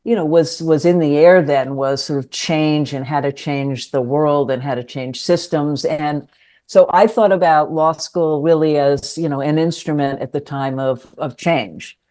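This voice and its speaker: An older woman from New York City, a lawyer and writer, answering interview questions in a thoughtful, hesitant way with many pauses. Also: low-pitched, warm tone. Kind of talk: conversational interview.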